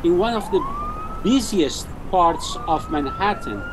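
A man's voice: very high voice, wildly swooping pitch, a little slow, noisy background. A man asking questions as a journalist in a conversational interview.

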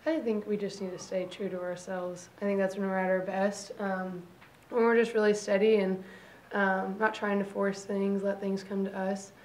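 A young woman college basketball player answering press questions at a table mic, calm and measured with many ums. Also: medium pitch, roomy sound, low-quality recording. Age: young adult. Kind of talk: post-game press conference answers. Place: press conference room. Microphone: table microphone.

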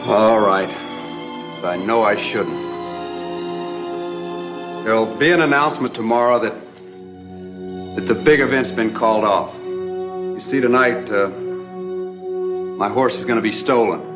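An actor in his thirties playing a charming, breezy young swindler in an old TV western, smooth-talking and nonchalant. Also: fairly low pitch, unhurried, long pauses, natural voice. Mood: confiding. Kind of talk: 1960s TV western acting. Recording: dull old TV soundtrack under music.

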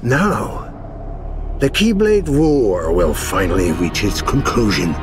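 An elderly game villain, cold and commanding. He speaks slowly and theatrically, with sweeping pitch, over prominent music. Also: lively intonation. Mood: triumphant. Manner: theatrical, portentous, deliberate. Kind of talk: video game cutscene acting.

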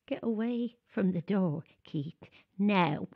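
An actress with an Irish accent playing a brisk, no-nonsense, plain-spoken theatre worker in a naturalistic audio drama. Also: female voice, mid-range voice, lively intonation, creaky, no room sound, muffled recording, full character voice. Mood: urgent, frightened.

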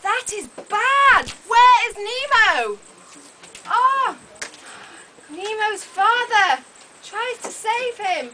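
A woman's voice: very high voice, very animated, very slow, pauses often. A woman telling a story live to young children in short simple phrases, exclamatory, with questions to her audience. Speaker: puppet show narrator. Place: open-sided thatched hall with audience of children. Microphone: camera microphone from audience. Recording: noisy open-air hall recording.

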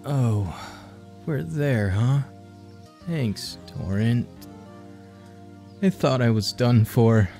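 A hapless but earnest young adventurer, voiced by a man in a manga dub. He is hesitant and slangy, with an unhurried pace. Music runs behind the voice. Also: medium pitch, vocal fry, warm tone. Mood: weary, sheepish. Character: hapless, earnest young male wanderer and failed bandit. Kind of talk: manga comic dub acting. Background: music under the dialogue.

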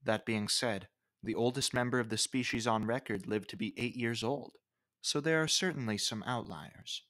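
A man's voice: medium pitch, normal speed, a bit bright. Man narrating a nature explainer. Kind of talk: scripted educational nature narration.

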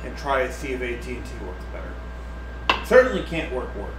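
A man's voice: medium pitch, very animated, fairly quick. A drunk man bantering casually on a livestream, joking and swearing as he goes. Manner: tipsy, profane joking. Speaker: livestream co-host. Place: indoors in a home kitchen. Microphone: distant room mic. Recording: roomy, distant mic, faint noise.

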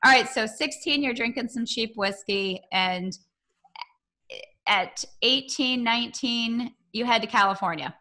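A woman's voice: on the high side, a little slow, sharp tone. A middle-aged woman with an American accent, hosting a casual interview in an informal, chatty way. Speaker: podcast interview host. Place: indoor home studio with bookshelves. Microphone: podcast microphone on boom arm.

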